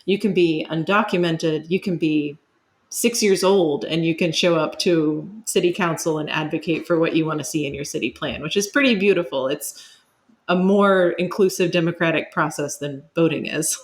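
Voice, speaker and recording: A woman's voice: low-pitched, moderate pace. A woman speaking as an expert guest in a conversational interview, explaining thoughtfully and building her points step by step.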